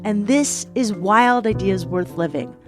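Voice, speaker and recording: A woman's voice: mid-range voice, unhurried. Upbeat woman podcast host over music. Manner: upbeat, enthusiastic. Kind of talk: scripted narration and interview. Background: music bed under narration.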